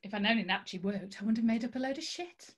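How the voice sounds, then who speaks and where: A woman plays a brisk, cynical character in a stage scene. The voice is husky and a bit high, with lively movement. The delivery is dry and impatient. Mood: impatient, wry. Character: brisk, cynical woman, former card reader.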